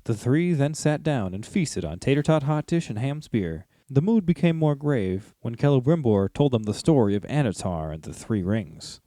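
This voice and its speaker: A man's voice: mid-range voice, big pitch swings, normal speed, hardly any pauses, dark tone, no echo, studio quality. A man with an American accent who hosts a podcast and narrates stories. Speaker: podcast host and drama narrator. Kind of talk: casual discussion and storytelling narration.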